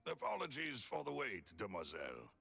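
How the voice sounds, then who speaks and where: A courteous gentleman attendant from an anime game. He sounds formal and careful, with a bright, gravelly edge and expressive intonation. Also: medium pitch, normal speed, full character voice. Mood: apologetic. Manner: formal, polite. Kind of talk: anime video game voice acting.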